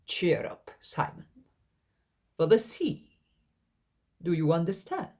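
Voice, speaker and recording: A woman's voice: lowish voice, very animated, slow pace, darkish tone. A woman doing a harsh, domineering villain. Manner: commanding, scornful. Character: harsh, domineering older man, furious villain.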